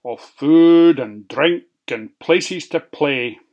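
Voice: mid-range voice, drawn-out words, darkish tone, muffled recording. A reader with a Scottish accent voicing a bold, boastful male pig in a storybook, with a scoffing manner.

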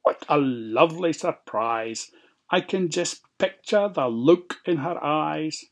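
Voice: medium pitch, lively intonation, slow. A storyteller of unclear sex voicing a sly, coaxing male wolf from a storybook in a Scottish accent. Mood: wheedling, friendly. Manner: coaxing, exaggeratedly friendly. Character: sly wheedling male wolf, falsely sweet and predatory. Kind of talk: storybook character voice.

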